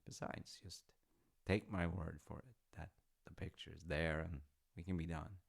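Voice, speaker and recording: Man acting a low, gravelly, encouraging dad. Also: fairly even intonation, measured pace, full character voice. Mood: playful, teasing. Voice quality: gravelly, creaky. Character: warm, encouraging, gently teasing dad. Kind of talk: intimate audio roleplay, slice-of-life acting.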